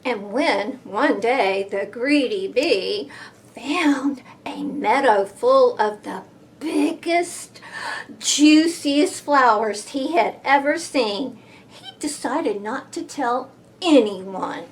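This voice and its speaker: A woman reading a picture book aloud to children, expressive and deliberate, with playful emphasis. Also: a little high, slight background noise. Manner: expressive, deliberate, with playful sound effects and gasps.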